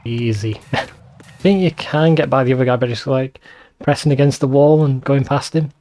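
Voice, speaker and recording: A man's voice: mid-range voice, moderate pace, dark tone. A man hosting a let's play, giving casual game commentary with wry, sweary asides.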